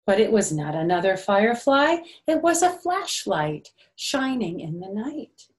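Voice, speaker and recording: A woman's voice: mid-range voice, big pitch swings, a little slow. An older woman reading a picture book aloud to young children, with simple phrasing and little questions and asides to the listeners.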